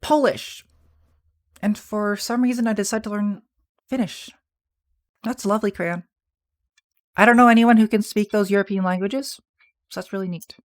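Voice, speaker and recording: A man with a soft, feminine-sounding voice, chatting casually on a livestream and answering viewer messages in a rambling, soft-spoken way. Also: high voice, steady tone, moderate pace, long pauses. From Canada. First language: English. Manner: soft-spoken, rambling, with restarts and small laughs. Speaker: streamer.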